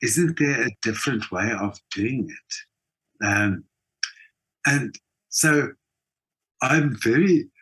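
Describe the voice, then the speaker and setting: A man with a South African accent and a fairly low, husky voice talks in a reflective interview. He sounds earnest and opinionated, and tends to act out the exchanges he quotes. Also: fairly bright tone, rough recording. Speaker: writer and documentary maker being interviewed.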